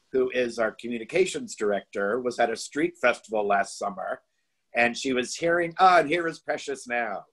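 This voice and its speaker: A man's voice: medium pitch, a bit bright, low-quality recording. A middle-aged man hosting a virtual award event from a home study, friendly and easygoing, speaking into a laptop mic. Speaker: event host. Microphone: laptop video call.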